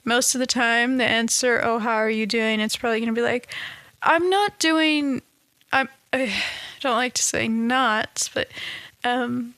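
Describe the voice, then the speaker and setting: A woman talking in a casual, reflective monologue, halting and restarting often, with a slightly nasal, stuffed-up voice. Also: fairly high pitch, steady tone, dry recording. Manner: halting, many restarts and soft laughs. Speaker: vlogger.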